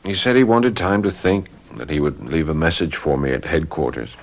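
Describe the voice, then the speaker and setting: An actor playing an eager, smitten young detective in a radio drama, earnest and a little hesitant. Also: male voice, extremely low pitch, big pitch swings, brisk, full character voice. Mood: musing, unsure. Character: eager young French police detective, smitten fiancé, slightly slow-witted.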